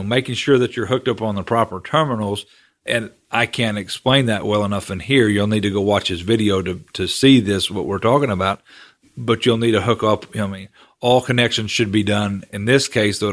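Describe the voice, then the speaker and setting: A male co-host with a lowish voice, walking through technical points in a steady, casual way. He leans on "you know" fillers as he explains. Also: a little fast. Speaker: co-host, general manager.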